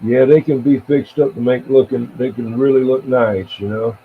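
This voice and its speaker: An American man in his seventies chatting casually on CB radio in a halting, rambling, reminiscing way. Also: mid-range voice, fairly even intonation. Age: 70s. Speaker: CB radio operator and live streamer. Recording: tinny band-limited radio audio with faint noise.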